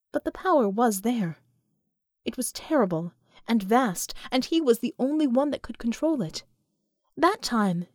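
A woman's voice: a little high, a little mellow, no room sound, studio quality. A woman reading a story aloud as scripted audiobook narration.

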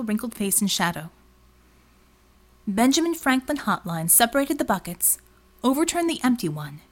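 A woman reading scripted fiction as an audiobook narrator. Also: a little high.

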